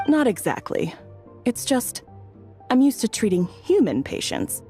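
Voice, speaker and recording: Mellow-voiced, composed doctor. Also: female voice, on the high side, sing-song, soft background music. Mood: polite concern. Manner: measured, professional. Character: composed, polite adult woman doctor. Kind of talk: video game cutscene dialogue.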